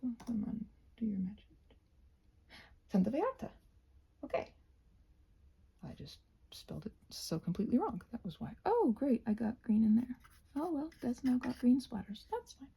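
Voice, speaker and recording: Female ASMR craft host, scattered and chatty, soft dark tone with lots of fry, breathy and often near a whisper. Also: fairly high pitch, big pitch swings, normal speed, pauses often. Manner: scattered, thinking aloud to herself. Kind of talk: rambling ASMR craft chat while painting.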